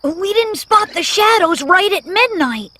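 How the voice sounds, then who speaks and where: A woman's voice: very high-pitched, expressive, a little slow, bright, forceful tone, slight background noise, full character voice. A woman playing an eager know-it-all boy. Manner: bossy, know-it-all, full of plans. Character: eager, confident young boy adventurer. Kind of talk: animated storybook acting.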